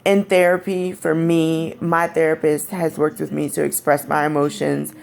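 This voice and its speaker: A young woman with a raspy, deep voice, speaking candidly in a personal vlog. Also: little pitch movement, normal speed, darkish tone. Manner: candid, frank and conversational. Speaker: vlogger. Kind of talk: personal storytelling to camera.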